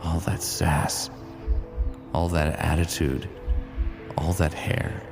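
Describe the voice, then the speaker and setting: A man's voice: extremely low pitch, little pitch movement, takes it slowly, gravelly, music in the background, full character voice. A man playing a smitten, teasing sci-fi warrior who narrates his own exploits in a fan audio drama, casual and wry. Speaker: narrator and lead character.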